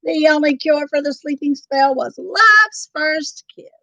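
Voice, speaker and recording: A woman narrating a children's storybook in an expressive, dramatic and deliberate style. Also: sits high, dry recording.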